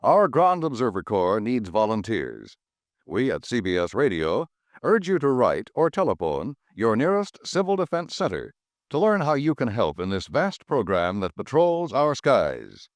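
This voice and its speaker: A man's voice: mid-range voice, huge pitch swings, normal speed, dry recording. Male radio announcer, formal and declamatory, sweeping intonation, dry studio sound. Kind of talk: 1950s network radio announcement.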